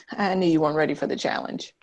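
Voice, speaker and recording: A woman acting a supportive, gently teasing friend in a screenplay table read. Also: sits low, steady tone, moderate pace. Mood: encouraging, teasing. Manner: teasing, encouraging.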